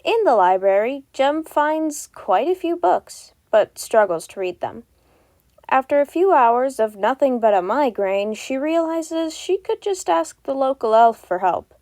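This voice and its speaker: A woman's voice: high-pitched, expressive, measured pace, darkish tone, no room sound. A woman narrating a fan fiction story as an amateur audio reading. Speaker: podfic narrator.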